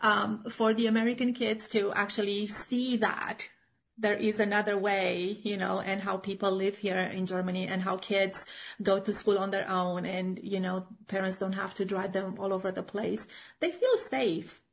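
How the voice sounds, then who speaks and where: A woman's voice: mid-range voice, little pitch movement, normal speed, creaky, slight background noise, rough recording. A middle-aged woman from Romania, chatting in an interview as a children's author and teacher, full of fillers and restarts. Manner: chatty, many fillers and restarts, laughs often. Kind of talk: casual interview chat.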